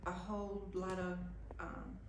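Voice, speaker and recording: A woman asks curious questions at a slow, deliberate pace. The room gives her voice a strong echo, and a faint hiss runs underneath. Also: fairly low pitch, completely flat delivery. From United States. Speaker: host asking questions. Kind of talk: casual interview.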